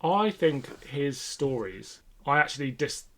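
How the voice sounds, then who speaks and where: Male podcast co-host, mid-pitched, informative and chatty. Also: sing-song, slow, bright, forceful tone. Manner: informative, explanatory. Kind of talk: casual review chat.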